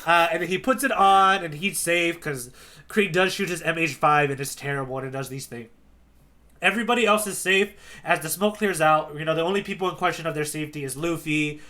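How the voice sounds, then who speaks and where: Brief: podcast host, man, slightly high voice, bright tone, lively rambling recap. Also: brisk. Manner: animated storyteller, many fillers and restarts. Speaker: podcast host, tour guide. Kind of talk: casual chat, recapping a comic chapter.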